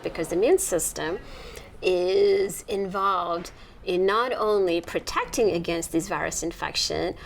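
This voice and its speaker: A middle-aged woman from Nepal, a researcher, explaining her work in a measured documentary interview. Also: lowish voice, creaky, slight background noise, poor audio. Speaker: immunology researcher.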